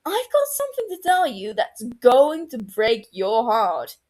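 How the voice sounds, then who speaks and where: A woman's voice: a little high, wildly swooping pitch, unhurried, darkish tone, voice pushed up, heavily acted. A woman voicing a cheeky young female surgeon who talks in a bouncy, teasing way and swears freely.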